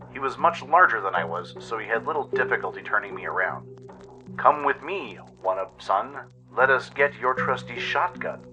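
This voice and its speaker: A man's voice: fairly low pitch, sharp tone, rough recording. A man narrating a scripted first-person adventure story dramatically, in the manner of a radio play host.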